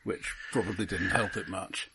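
A man's voice: sits low, steady tone, moderate pace, background noise. A man co-hosting a podcast, chatting casually about films with dry, understated humour. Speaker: podcast co-host. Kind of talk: casual film discussion.

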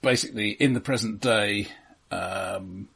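A man co-hosting a podcast, giving his film opinions in casual chat with a measured, understated manner. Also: fairly low pitch, gravelly, a bit bright, breathy edge.

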